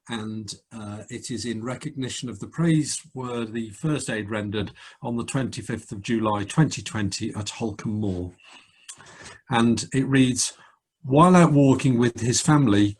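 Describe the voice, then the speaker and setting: A man speaking as a cadet force commandant, formally presenting and reading out in a measured way. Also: mid-range voice, a little mellow, slight background noise, low-quality recording.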